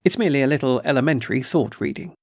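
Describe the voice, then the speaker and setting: A man reading aloud and voicing a calm, perceptive doctor who speaks quietly and evenly. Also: mid-range voice, no room sound, clean studio sound. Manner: quiet, measured.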